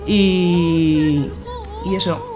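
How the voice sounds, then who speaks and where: A woman's voice: lowish voice, fairly even intonation, drawn-out words, over music. A woman, a guest in a radio interview, speaking hesitantly with many filler words.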